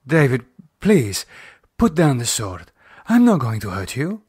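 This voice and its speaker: A man voicing a calm, concerned woman in a horror audiobook, gently and patiently reasoning with a madman. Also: medium pitch, unhurried, breathy edge, heavily acted. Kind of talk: audiobook character voice.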